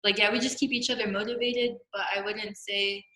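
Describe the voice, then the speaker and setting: A young woman with a bright, clear-cut voice gives a casual interview. She is upbeat and informal, and the roomy space puts an echo on her speech. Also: mid-range voice, flat delivery, brisk. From Jordan. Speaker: podcast guest. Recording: roomy, echoey podcast room.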